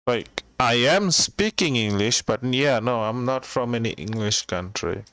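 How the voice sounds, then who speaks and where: A man from Bangladesh, chatting casually on his live stream in a halting way, with many restarts. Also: mid-range voice, expressive, fairly bright tone, clean tone. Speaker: livestreamer.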